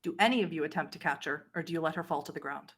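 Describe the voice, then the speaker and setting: A woman narrating a tabletop roleplay game as game master, with measured, descriptive storytelling. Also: sits low, slightly rough.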